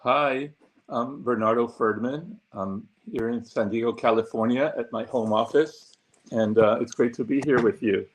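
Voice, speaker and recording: A man in his sixties from Argentina whose first language is Spanish, speaking from his home office as an interview guest, thoughtful and often pausing with ums and uhs. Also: medium pitch, background noise. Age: 60s. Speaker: guest, consultant. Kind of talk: conversational interview answers.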